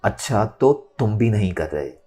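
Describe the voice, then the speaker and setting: A man voicing a defiant, sharp-tongued young woman in a storybook, with taunting emphasis. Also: fairly low pitch, lively intonation, a little slow, breathy edge. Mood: cool, bitter. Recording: music under the voice.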